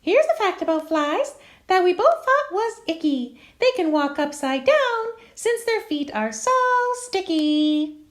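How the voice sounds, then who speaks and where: Curious little picture-book helper voiced by a woman: piping, singsong, wildly swooping, excitable. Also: extremely high pitch, measured pace, pitched above the performer's own voice, exaggerated voice. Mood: eager. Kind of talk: children's picture-book read-aloud.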